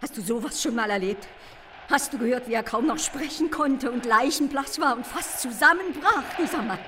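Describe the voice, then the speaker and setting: An actress playing a gushing, self-righteous middle-aged widow, an ardent believer, in a German radio play. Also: female voice, sits high, moderate pace, sharp tone, a little hiss or hum. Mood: rapturous.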